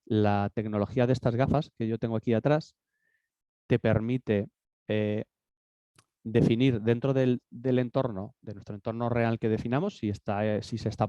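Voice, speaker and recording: A man's voice: medium pitch, dark tone, no room sound, highs cut off. A man with a cold giving an informal business seminar talk from his office desk. He hesitates often, with many fillers and restarts. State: has a cold. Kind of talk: informal presentation.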